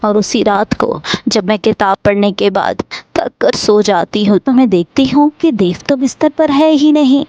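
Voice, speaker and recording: A woman's voice: mid-range voice, normal speed, a little mellow, muffled recording, faint music behind, natural voice. A woman playing a frightened, suspicious and determined young wife in a dramatised horror story. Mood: narrating. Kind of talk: dramatised Hindi moral horror story narration.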